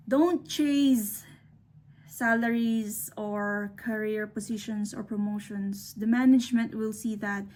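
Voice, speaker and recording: Middle-aged woman from the Philippines, English not her first language, slightly high, unhurried delivery, earnest mentor giving advice. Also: darkish tone. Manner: earnest, unhurried, with fillers and restarts. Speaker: speaker. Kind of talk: motivational talk to young engineers.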